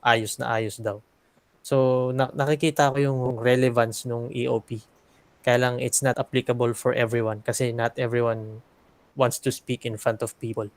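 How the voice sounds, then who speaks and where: A man's voice: medium pitch, little pitch movement, moderate pace, no room sound. A man in a casual group discussion on a video call, chatty and laughing, mixing languages with many fillers.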